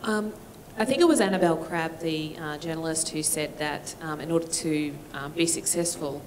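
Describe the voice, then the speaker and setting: A woman's voice: low-pitched, moderate pace, slight background noise. A woman in the audience of a conference hall asking a question, hesitant and full of fillers.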